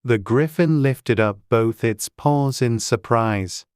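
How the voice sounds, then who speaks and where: A woman's voice: extremely low pitch, takes it slowly, a little mellow, clean tone, dry recording, studio quality. A woman reading scripted storybook narration as an audiobook narrator.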